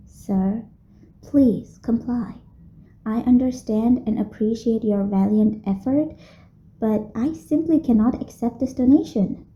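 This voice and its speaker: A woman's voice: a little high, takes it slowly, long pauses, dark tone, roomy sound. A woman playing a polite, teasing female vampire who runs a blood bank, in an audio roleplay spoken to the listener. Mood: firm, exasperated. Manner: genteel, courteous, teasing. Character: polite, flirty female vampire running a blood bank, playful and long-suffering. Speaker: medical center receptionist.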